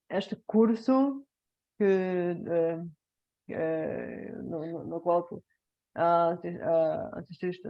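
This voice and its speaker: A woman from Bulgaria, a native Bulgarian speaker, hosting a relaxed interview and asking careful follow-up questions. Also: lowish voice, muffled recording. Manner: slow, questioning, attentive listener. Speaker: host. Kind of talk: casual interview conversation.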